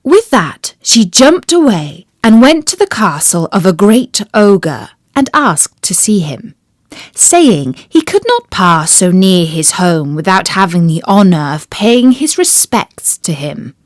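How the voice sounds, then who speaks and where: A woman tells a classic fairy tale at an unhurried pace. Her pitch moves animatedly from phrase to phrase, and the recording is dry and close. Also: sing-song, no echo. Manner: slow, clear storybook narration. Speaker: storyteller. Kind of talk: fairy-tale audiobook reading.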